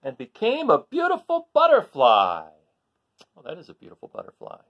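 A middle-aged man reading a children's storybook aloud as host, in short deliberate phrases with expressive emphasis on key words. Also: fairly high pitch, creaky, highs cut off. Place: small room at home, indoors.

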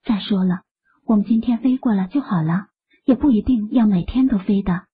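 A woman voicing a kind, soft-hearted young wife in an audio drama, speaking sweetly. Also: mid-range voice, brisk, slightly rough. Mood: soothing. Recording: muffled phone-like audio.

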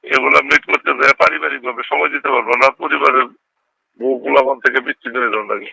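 A man's voice: mid-range voice, fairly even intonation, quick pace, vocal fry, sharp tone, husky, no echo. A middle-aged Bangladeshi man chatting casually on a recorded phone call, repeating himself and checking he is understood. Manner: repetitive, often checks the listener understands. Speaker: local politician.

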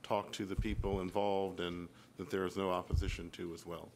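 A man's voice: low voice, level tone, fairly quick, a little hiss or hum. A man chairing a public meeting informally, speaking as board chairman at a table microphone. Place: indoor meeting room.

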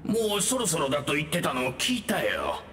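A curious game ghost, high for a man and bright in tone. He talks slowly, swinging widely in pitch. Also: huge pitch swings, soft background music. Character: curious, unhurried male ghost companion. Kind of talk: Japanese game voice acting.

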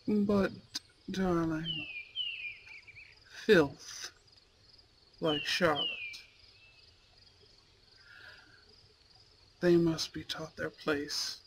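A woman voicing a proud, imperious young male student in a roleplay audio drama, with haughty, formal and deliberate diction. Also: low-pitched, noisy background, heavily acted. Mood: protective, stern. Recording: steady outdoor bird and insect ambience. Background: birdsong and insects.

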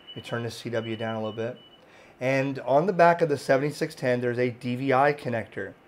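A man with a slightly hoarse voice giving a plain-spoken, informal product demonstration as a video host, recorded indoors on a handheld camera. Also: medium pitch. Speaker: amateur radio video host. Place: indoor home radio room. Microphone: handheld camera mic.

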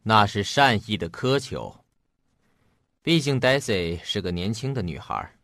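A man's voice: lowish voice, very animated, unhurried, fairly bright tone, no room sound, clean studio sound, exaggerated voice. An actor playing a paternal, somewhat uneasy middle-aged husband and father in a radio drama. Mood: reassuring, fatherly.

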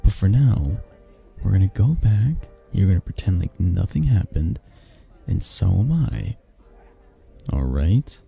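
A man playing a possessive mafia boss in a romantic ASMR audio roleplay, speaking haltingly with sighs and pointed pauses in a low, breathy, husky voice. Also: very animated, warm tone, faint music behind, exaggerated voice. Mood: stern, possessive. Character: possessive, menacing young mafia boss, softening into awkward tenderness.

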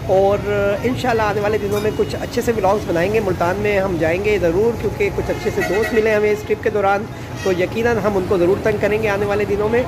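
A man narrating a casual travel vlog, chatty with hesitant pauses. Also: high voice, steady tone. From Pakistan. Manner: chatty, says "zabardast" and "inshallah" often, with hesitant "uh" pauses. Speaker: travel vlogger. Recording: loud street noise behind.

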